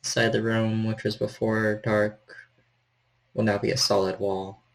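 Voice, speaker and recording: A man working as storyteller, narrating a horror role-playing game in a measured, descriptive way. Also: on the low side, flat delivery, gravelly, poor audio.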